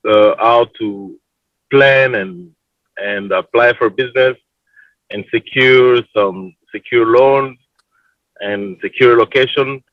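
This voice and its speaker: A man's voice: medium pitch, slow, dry recording, muffled recording. A man moderating a forum. He puts long questions to the panel and halts and restarts his sentences as he goes.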